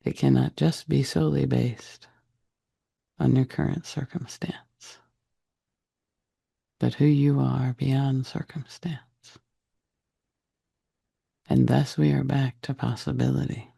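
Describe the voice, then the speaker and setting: A man leading a guided meditation in a soft, breathy, husky voice, speaking deliberately in short phrases with long pauses. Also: medium pitch, flat delivery. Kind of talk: guided meditation, slow soothing narration.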